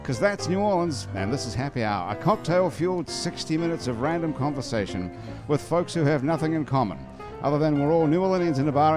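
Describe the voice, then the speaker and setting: A male podcast host banters with his guests in a bar, talkative and teasing. His voice sits at a middle pitch. Faint music plays underneath. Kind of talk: casual hosted bar chat. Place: bar and restaurant.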